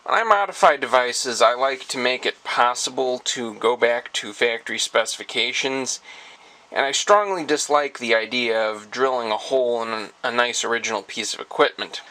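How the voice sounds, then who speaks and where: A man's voice: mid-range voice, normal speed, sharp tone. A man presenting a hobbyist tech demonstration, explaining his device modification in a methodical, technically precise way.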